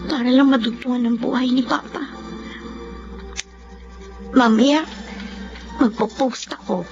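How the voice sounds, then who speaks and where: A woman with a Filipino accent playing a devoted young daughter in a Tagalog radio drama, gentle and earnest. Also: on the high side, a little slow. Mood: determined, pleading. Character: devoted, tender young daughter caring for sick father. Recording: muffled radio drama audio, faint music.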